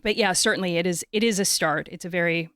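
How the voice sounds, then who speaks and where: A woman's voice: on the low side, fast, a bit bright, no room sound, clean studio sound. A Canadian woman hosting a conversational interview, informal and often searching for her words.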